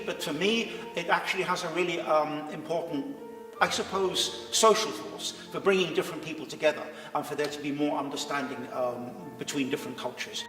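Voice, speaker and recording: A middle-aged man from Scotland, a conservatoire lecturer and gamelan musician, speaking in a measured, articulate way in an interview. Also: a little high, a bit bright. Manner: measured, articulate, with small restarts. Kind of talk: pre-concert interview. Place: large recital room. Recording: echoey room, distant mic, faint music.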